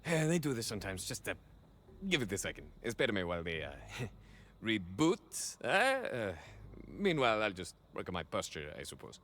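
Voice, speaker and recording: A man's voice: fairly low pitch, huge pitch swings, moderate pace, a bit bright, a little hiss or hum, exaggerated voice. An actor playing a cocky, rambling cyborg ex-soldier in a video game, with a Mexican-American accent and bits of Spanish mixed into his hesitant, theatrical talk. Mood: flustered. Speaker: playable game character. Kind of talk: video game idle monologue acting.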